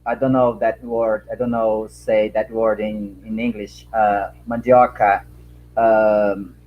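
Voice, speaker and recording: A man from Brazil speaking English as a second language with a Brazilian Portuguese accent, answering a panel question in a halting way with many fillers and repeated words while he searches for words. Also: fairly low pitch, little pitch movement, lo-fi sound. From Acre, Brazil.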